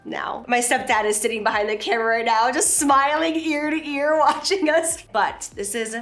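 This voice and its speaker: Woman, 30s, beauty vlogger: bright, pushy tone, nasal with a cold, effusive and emotional. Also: a little high, faint music behind. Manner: gushing, emotional, talks fast. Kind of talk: casual chat.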